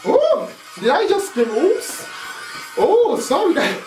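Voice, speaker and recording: A woman's voice: very high voice, wildly swooping pitch, slow. A young woman hosting a reaction video, squealing, whooping and laughing as she watches a performance indoors. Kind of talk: excited casual reaction commentary. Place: salon-style room indoors. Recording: roomy, distant mic, loud music playing. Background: music from the clip being watched.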